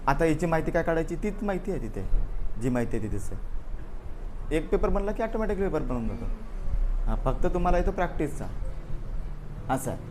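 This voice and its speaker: A man's voice: a little high, sing-song, a little fast, pauses often, a little mellow, a little hiss or hum, rough recording. A man teaching an exam review class, repeating answers and quizzing his students. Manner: drills answers through repetition and questions.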